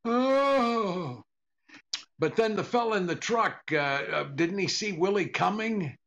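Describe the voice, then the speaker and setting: An older actor playing a dry, exasperated comedian husband in a 1940s-style radio comedy, gravelly, stammering and repeating his questions. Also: sits high, lively intonation, slow pace, a bit bright, full character voice.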